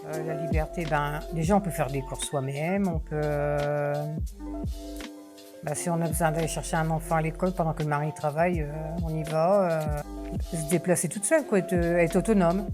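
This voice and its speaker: A woman's voice: deep voice, steady tone, normal speed, music in the background. A woman in her fifties, a care worker, speaking casually and plainly in an interview. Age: 50s. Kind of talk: testimonial interview.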